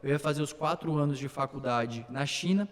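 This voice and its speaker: A man's voice: mid-range voice, brisk. Young man with a Slovak first language. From born in São Paulo, raised in Slovakia and Brazil. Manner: earnest, detailed storytelling. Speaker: city council candidate. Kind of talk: casual interview, telling his life story. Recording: long hall reverb.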